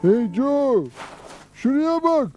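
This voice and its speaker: An actor playing a naive, dim outlaw brother in a dubbed cartoon, eager in manner. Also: male voice, extremely high pitch, big pitch swings, very slow, a little mellow, faint music behind, heavily acted. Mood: excited.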